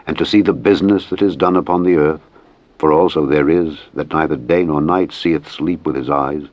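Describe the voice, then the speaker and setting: A muffled, slightly lo-fi recording of a man with a low voice. He narrates scripture in a solemn, measured way, with formal phrasing. The sound is dry and close, free of room reflections. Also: no room sound. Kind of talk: solemn scripted Bible reading.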